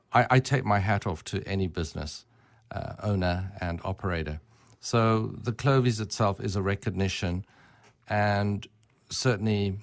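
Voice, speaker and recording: A man being interviewed on the radio, speaking formally with ornate, deliberate wording. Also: deep voice, gravelly, no room sound. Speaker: interview guest, winery business owner.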